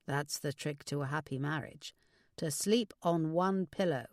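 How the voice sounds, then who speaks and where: A woman voicing a kindly older father who gives measured, reassuring, philosophical advice in an audiobook. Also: low-pitched, dry recording, studio quality. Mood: earnest, reassuring. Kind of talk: audiobook character dialogue.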